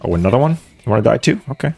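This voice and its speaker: A man's voice: lowish voice, sing-song, very fast, vocal fry, a little mellow, faint music behind. A man streaming a game, giving offhand commentary with joking asides. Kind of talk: casual gameplay commentary.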